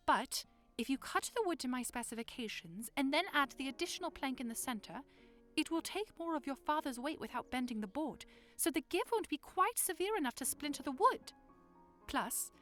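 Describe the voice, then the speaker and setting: A woman's voice: sits high, a bit bright. A woman playing a proud but self-doubting young princess in an audio drama, speaking earnestly in formal wording.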